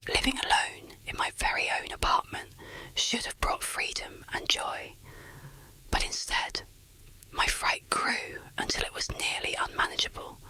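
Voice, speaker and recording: An ASMR storyteller of unclear sex narrating a first-person horror story in a breathy, unvoiced whisper, measured and deliberate. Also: high voice, bright, forceful tone, low-quality recording.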